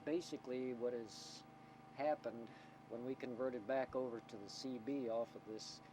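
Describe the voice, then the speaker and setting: A man who runs a community radio station explains things informally in an interview, halting and choosing his words carefully, on a noisy old documentary recording. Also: fairly even intonation. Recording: noisy 1990 documentary tape, vehicle or generator rumble.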